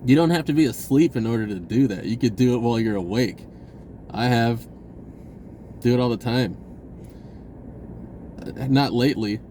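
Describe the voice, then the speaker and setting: A man telling a personal story in a casual, rambling vlog style, acting out bits of dialogue in an animated way. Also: mid-range voice, slight background noise. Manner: animated, acts out dialogue, chuckles often.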